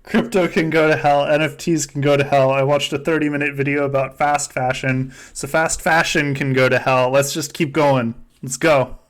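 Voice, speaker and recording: A man's voice: on the high side, fairly even intonation, moderate pace, hardly any pauses. A man hosting a game stream in casual, joking chat, with wry, profane, ranting asides.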